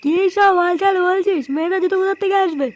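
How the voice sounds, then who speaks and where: A man voicing an irritable, foul-mouthed, bossy card player in a comedy cartoon, with an artificially pitched-up voice. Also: fast talker. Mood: annoyed, blustering. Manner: gruff cursing, blustering.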